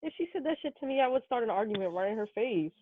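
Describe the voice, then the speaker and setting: A woman's voice: fairly high pitch, animated, racing, a little hiss or hum. A young woman chatting casually in a group podcast conversation. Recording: muffled, phone-like, thin sound.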